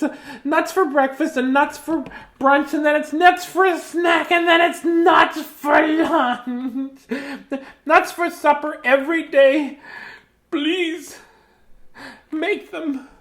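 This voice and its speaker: A woman's voice: high voice, pitched above the performer's own voice. A woman voicing a dramatic squirrel who is fed up with nuts, in a singsong, wailing way.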